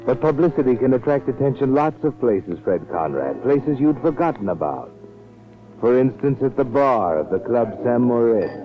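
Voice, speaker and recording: An actor playing a sardonic, knowing, ominous narrator of a 1940s radio mystery, addressing the schemer directly. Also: male voice, medium pitch, animated, normal speed, lightly acted. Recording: tinny 1940s radio, loud music behind.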